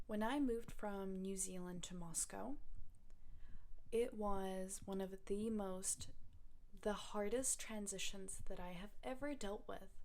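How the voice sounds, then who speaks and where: A woman's voice: medium pitch, darkish tone. A young woman from Russia, talking casually to camera in a reflective, rambling way with many fillers. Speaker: vlogger. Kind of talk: casual personal talk to camera.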